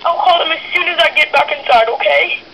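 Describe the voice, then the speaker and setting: A polite, formal man talks on the phone in a high voice. He keeps asking careful questions and stays suspicious. His voice comes through a phone speaker with faint background noise. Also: brisk, gravelly, pushed and bright, rough recording. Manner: polite and formal, calls the caller sir. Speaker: prank call target. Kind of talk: phone conversation. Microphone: heard through a phone speaker.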